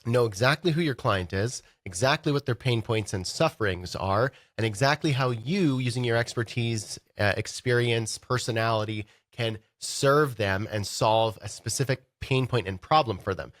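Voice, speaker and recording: A man's voice: mid-range voice, moderate pace, few pauses, a bit bright, no echo. A man with a head cold, sounding congested, co-hosting a podcast in a studio and explaining ideas in a playful, casual way. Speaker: podcast co-host. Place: recording studio.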